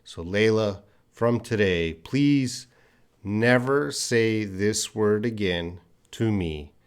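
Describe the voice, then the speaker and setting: A middle-aged man with a light Canadian accent teaches English on a live stream. He speaks slowly and patiently in a lowish voice, repeating words clearly so learners can follow along.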